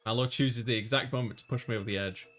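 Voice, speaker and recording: A man's voice: medium pitch, fairly even intonation, fast, fairly bright tone, soft background music, natural voice. A man voicing a flustered young male college student who narrates his own story in a visual novel. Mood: flustered, sheepish.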